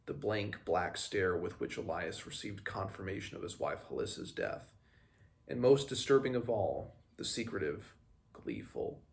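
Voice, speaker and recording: A man talks through a book in a halting, thoughtful way, as a read-along host. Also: deep voice, expressive, gravelly. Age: 20s. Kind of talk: casual book commentary with passages read aloud. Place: small room at home, indoors.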